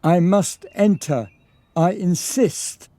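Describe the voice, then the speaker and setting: Commanding radio drama gentleman, higher-pitched, dark mellow tone, formal, insistent. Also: takes it slowly, no echo. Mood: urgent, insistent.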